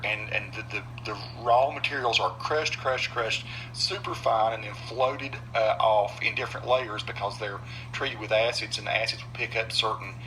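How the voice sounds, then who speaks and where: A man's voice: fairly low pitch, sharp tone, slight background noise, low-quality recording. A middle-aged man, narrating his own footage in a casual, rambling way with many fillers and restarts. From North Carolina mountains, US.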